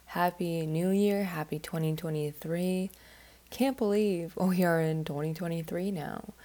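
A woman's voice: on the low side, unhurried, warm tone. A woman hosting an astrology podcast in a chatty, rambling monologue, sounding stuffed up as she gets over the flu. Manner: rambling and chatty, with many "like" fillers.

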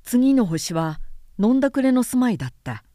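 A woman's voice: a little high, big pitch swings, moderate pace, mellow, dry recording, studio quality. A woman narrator giving a literary storybook reading.

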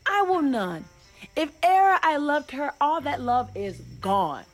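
A woman's voice: high-pitched, very animated, slow pace, soft background music, heavily acted. A woman playing a besotted, haughty young male lover in a Shakespearean verse radio play, declaiming grandly. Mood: haughty, dismissive.

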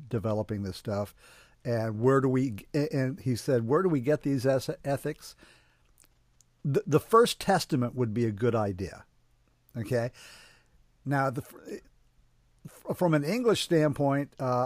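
A man hosting an interview, talking in a halting, searching way with many restarts. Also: medium pitch, darkish tone, dry recording, clean studio sound. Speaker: interview host. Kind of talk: conversational interview.

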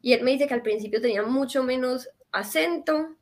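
A woman's voice: sits high, fairly quick. A woman from Colombia with a Colombian accent, a guest recounting her experience in a casual interview over a video call, talking with many fillers and restarts. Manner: animated storytelling with fillers and restarts.

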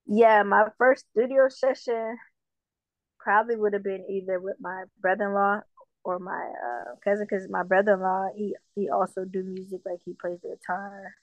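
Woman, from Jamaica, musician guest, relaxed filler-heavy talk, flat delivery, lo-fi dry sound. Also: medium pitch, moderate pace, no echo. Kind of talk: casual interview.

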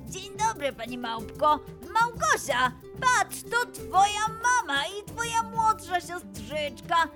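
A woman's voice: extremely high pitch, big pitch swings, takes it slowly, bright, forceful tone, faint music behind, voice pushed up, heavily acted. A woman voicing a kind, caring young animal friend in a children's story, sweet and eager. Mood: excited.

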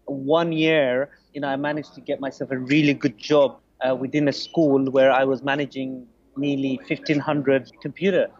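A man in his forties from Bangladesh, a podcast guest telling his story in a relaxed, hesitant way. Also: mid-range voice, normal speed, few pauses. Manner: hesitant, restarts, many "you know"s. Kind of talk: casual interview answers. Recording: lo-fi muffled sound.

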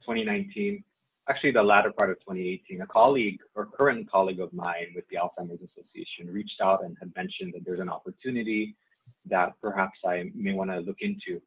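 A deep-voiced man from Saipan, Chamorro his first language, talks through an interview slowly and haltingly, circling back with ums and restarts. His voice is husky and low. It comes through a thin, phone-like line. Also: lively intonation. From Saipan, Northern Mariana Islands. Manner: hesitant, many fillers and restarts. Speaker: guest, nonprofit staff member. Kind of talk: casual interview.

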